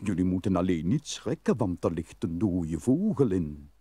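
A man voicing a courteous male mole in a storybook, with a measured manner. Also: deep voice, sing-song, mellow, breathy edge, no echo, voice pushed down, full character voice. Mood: matter-of-fact. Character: courteous male mole showing his tunnel.

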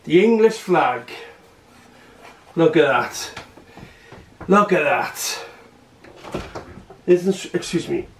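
A young man, talking to camera at home in a halting, earnest way full of fillers and restarts. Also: on the high side, lively intonation, a little hiss or hum. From Wales. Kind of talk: casual vlog speech to camera. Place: small room at home, indoors. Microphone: camera across the room. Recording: echoey room, distant camera mic.

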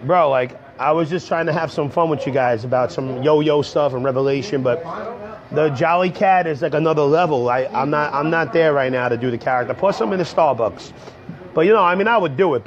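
A young man, hoarse from a cold, rambling casually to viewers on a livestream from a coffee shop. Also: on the high side, brisk, a crowd in the background. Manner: rambling, slangy, talks straight to viewers. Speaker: comedian livestreaming. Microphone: earbud headset mic on a phone. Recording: dull lo-fi phone stream.

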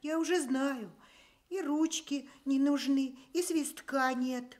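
High-voiced, earnest little hedgehog. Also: female voice, takes it slowly, a little mellow. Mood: dejected. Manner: earnest, childlike. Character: small, naive, proud, easily upset male hedgehog. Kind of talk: Soviet radio fairy-tale acting.

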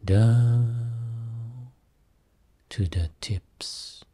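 A man guiding a Buddhist meditation as a teacher, speaking soothingly in short phrases with long pauses between them. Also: medium pitch, steady tone, warm tone. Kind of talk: guided meditation.

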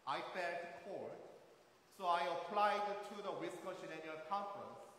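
A man in his thirties from South Korea with a Korean accent, preaching a sermon as a guest pastor in a careful, measured way. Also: sits high, lively intonation, a bit bright. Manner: careful, measured delivery with occasional rephrasing. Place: large church sanctuary. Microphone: far camera at back of sanctuary. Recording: echoey sanctuary, faint hiss, from far off.

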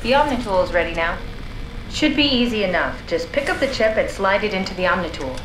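A woman's voice: mid-range voice, big pitch swings, brisk, noisy background, natural voice. Woman as a pragmatic robot scientist, echoey. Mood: businesslike. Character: brisk, pragmatic female scientist living as a robot. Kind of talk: video game voice acting.

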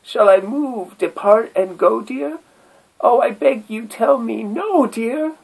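A Scottish man voicing a lovesick male turtle who pleads in a high, sing-song voice. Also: slow pace, creaky, husky, exaggerated voice, rough recording. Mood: desperate. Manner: imploring, sing-song, rising to a high wail.

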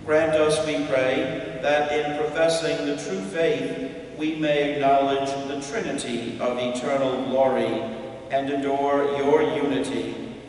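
Slow solemn priest in echoey church. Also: male voice, mid-range voice, flat delivery, far from the mic, a little hiss or hum. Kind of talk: leading mass prayers. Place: large church sanctuary. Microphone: stand mic at lectern, far camera.

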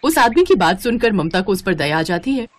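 Female narrator, mid-pitched, scripted storybook delivery for moral tales. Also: very animated, brisk.

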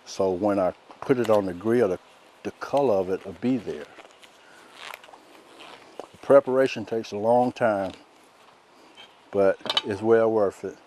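A soft-spoken middle-aged man, demonstrating a recipe outdoors as a cooking show host in a relaxed, folksy way. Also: lowish voice, unhurried, pauses often, slight background noise. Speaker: chef host. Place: outdoors by a lake in woods.

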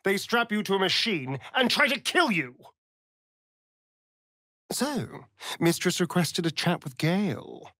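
An actor playing a sardonic, flirtatious vampire elf rogue in a video game, with an arch, droll, theatrical delivery. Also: male voice, high-pitched, big pitch swings, slow, long pauses, pushed and bright, dry recording, studio quality, heavily acted. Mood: arch, teasing. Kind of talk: video game character voice lines.

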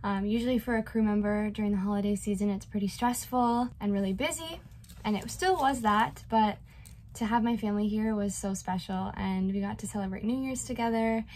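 A woman's voice: mid-range voice, darkish tone, slight background noise. Chatty young woman vlogging from her cabin. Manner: bubbly, chatty, quick asides. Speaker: cruise ship performer. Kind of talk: casual vlog chat to camera. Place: small ship cabin, indoors. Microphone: phone camera at arm's length.

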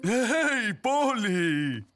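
A big, gentle Bigfoot from a children's cartoon. He talks slowly and kindly, a little timid, with huge swings in pitch. Faint music plays underneath. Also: sing-song, heavily acted. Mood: friendly. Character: gentle, friendly, timid giant Bigfoot, male. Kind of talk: animated children's cartoon dialogue.

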